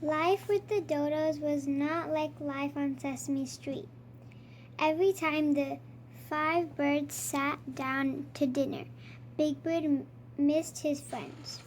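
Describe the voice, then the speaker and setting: A child with a high voice reads a storybook aloud at an unhurried pace. The delivery is that of a read-aloud for young listeners. There is faint background noise in the recording. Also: steady tone. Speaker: storybook reader.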